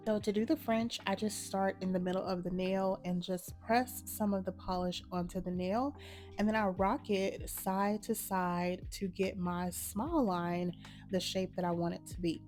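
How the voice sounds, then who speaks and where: Slightly hoarse woman, mellow tutorial voice. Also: mid-range voice, normal speed, soft background music. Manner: relaxed, matter-of-fact instructions. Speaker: nail art channel host. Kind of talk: casual step-by-step tutorial narration.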